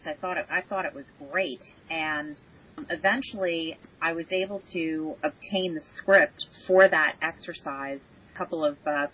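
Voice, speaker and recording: Low-voiced woman on a muffled call. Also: sharp tone. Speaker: podcast guest, medical association president. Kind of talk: conversational explaining and recounting. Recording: dull, band-limited call audio.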